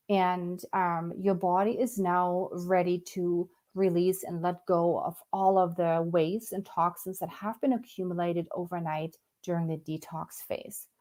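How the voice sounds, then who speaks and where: Woman, from Germany, middle-aged, lowish voice, nutritionist, casual explaining, hesitant with fillers. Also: steady tone, a little mellow. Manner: thoughtful, pauses often with fillers and restarts. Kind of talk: casual educational talk to camera.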